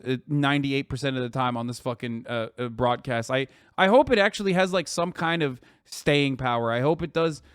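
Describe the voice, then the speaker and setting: A man live streaming, reacting to tweets and lecturing his chat in a profane, animated way full of rhetorical "okay?" asides. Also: fairly high pitch, clear, resonant tone, no echo, studio quality.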